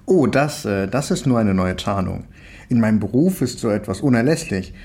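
A woman's voice: extremely low pitch, lively intonation, moderate pace, a little mellow, pitched below the performer's own voice, exaggerated voice. A woman voicing a short, stocky, self-satisfied male detective in a storybook reading. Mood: cheerful.

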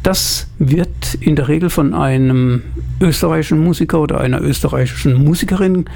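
A man's voice: on the high side, brisk, creaky, darkish tone. A man presenting jazz on the radio, chatting casually and thinking aloud with the odd filler.

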